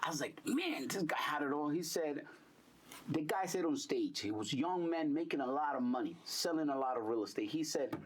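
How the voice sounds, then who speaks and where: Brief: man from Honduras, middle-aged, lively and animated, repeats himself. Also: on the high side, brisk, slightly rough. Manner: animated storytelling, repeats words, energetic. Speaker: real estate broker. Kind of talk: casual conversation.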